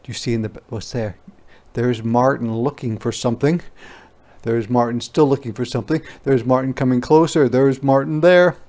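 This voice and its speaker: A man doing an interactive picture-book read-aloud for young children, pausing to ask questions and explain words simply. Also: mid-range voice, fairly even intonation, darkish tone. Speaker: teacher reading aloud.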